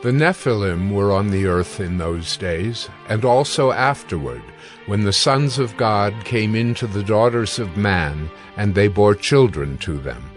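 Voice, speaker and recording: A man narrating dramatized scripture from a script in a solemn, measured and formal manner. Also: fairly low pitch, expressive. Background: music.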